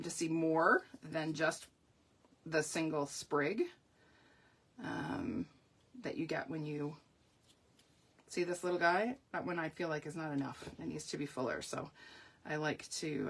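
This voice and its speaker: A woman's voice: low voice, creaky, slightly rough. Chatty woman hosting a craft live stream. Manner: chatty, talks through each step and greets viewers. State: voice going croaky. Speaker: papercraft tutorial host.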